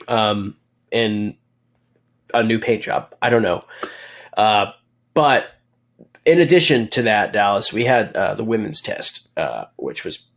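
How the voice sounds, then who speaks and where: A man co-hosting a podcast, recapping racing in casual chat, rambling with many fillers and jokes. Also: fairly low pitch. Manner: rambling, filler-heavy, joking and laughing. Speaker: podcast co-host.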